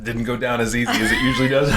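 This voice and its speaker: A man's voice: mid-range voice, very noisy. A man hosting a livestream, chatting casually in a rambling, self-amused way with frequent laughter. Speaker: streamer, host.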